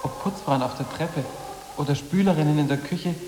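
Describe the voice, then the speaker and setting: An actor playing a morose, sarcastic teenage boarding-school boy in a literary radio drama, speaking in deadpan statements. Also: on the high side, normal speed, noisy background, close to an everyday voice. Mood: sardonic, detached. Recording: echoing hall with music behind.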